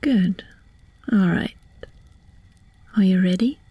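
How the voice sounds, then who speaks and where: Slow, very breathy sorceress, acted by a woman. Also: mid-range voice, dark tone, no room sound. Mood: gentle, reassuring. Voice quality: breathy, half-whispered. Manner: slow, soothing, deliberate with long pauses. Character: friendly, calm sorceress, gentle and reassuring. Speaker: ASMR roleplay voice actor. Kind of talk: whispered ASMR hypnosis roleplay. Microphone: close, dry microphone.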